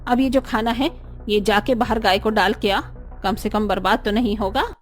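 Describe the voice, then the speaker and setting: A woman voicing an arrogant, sharp-tempered older mother-in-law in a cartoon story, haranguing indignantly with rhetorical questions, over music. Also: medium pitch, normal speed, pitched below the performer's own voice, natural voice. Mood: furious. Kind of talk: Hindi cartoon story voice acting.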